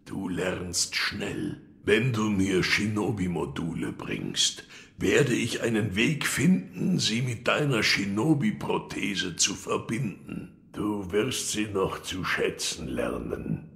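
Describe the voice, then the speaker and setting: An actor playing a gruff, cryptic old wood-carver in an audio drama, brooding and gravelly. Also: male voice, lowish voice, big pitch swings, slow pace, fairly bright tone, exaggerated voice. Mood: grave, instructive. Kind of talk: audio drama acting of a video game.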